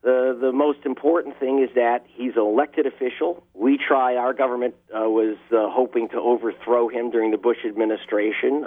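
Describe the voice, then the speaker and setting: A man speaks as an investigative journalist in an interview, his delivery impassioned and pressing hard on key words. His voice sits at an ordinary pitch. The sound comes through a thin, phone-like line with the low and high ends cut away. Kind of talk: interview answers. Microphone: telephone line.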